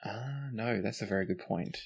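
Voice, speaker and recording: A man with a fairly low voice acts as game master of a tabletop fantasy game. He narrates scenes in a descriptive storytelling way and explains the rules as he goes. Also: moderate pace, gravelly, breathy edge.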